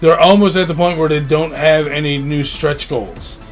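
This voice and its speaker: A man speaks in a slightly raised register with an informal, slangy delivery. He sounds like a podcast co-host in casual banter. There is soft music underneath. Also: a little high, fairly even intonation, moderate pace. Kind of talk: casual banter about gaming news.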